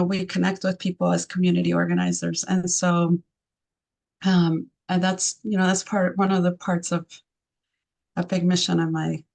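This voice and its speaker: A woman with an Argentine accent, whose first language is Spanish, speaks in an even, level tone with a gravelly edge. She answers interview questions in a thoughtful way, pausing often and restarting her sentences. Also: lowish voice. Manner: thoughtful, many fillers and restarts. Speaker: arts program founder.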